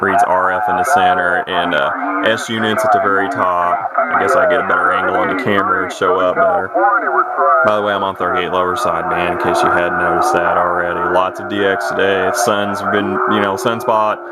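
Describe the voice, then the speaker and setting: A man's voice: deep voice, flat delivery, brisk. A plain-spoken, folksy man giving a rambling, casual, unscripted demonstration of equipment as a hobbyist. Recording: very noisy, radio static. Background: CB radio receiver noise.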